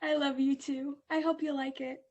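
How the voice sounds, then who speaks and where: A woman voicing a gentle, sweet young goddess. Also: high-pitched, flat delivery, normal speed, lo-fi sound, natural voice.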